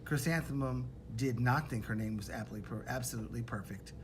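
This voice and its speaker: A man's voice: mid-range voice, fairly quick. Man reading a picture book, background noise. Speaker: storybook reader. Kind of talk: expressive read-aloud of a children's picture book.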